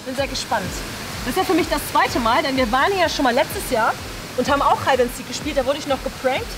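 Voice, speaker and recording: A woman's voice: sits high, animated, fast, over loud music, rough recording. A young woman hosting a vlog in a casual, chatty way, thinking aloud as she talks to the camera. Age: young adult. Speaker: host of a YouTube challenge vlog. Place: large indoor swimming pool hall.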